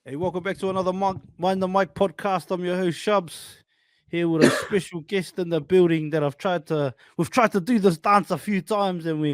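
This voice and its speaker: A man's voice: high voice, steady tone, normal speed, clear, resonant tone, no echo. A man hosting a podcast in a casual, friendly way, calling people "brother". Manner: friendly, calls listeners and guest "fam" and "brother". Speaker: podcast host.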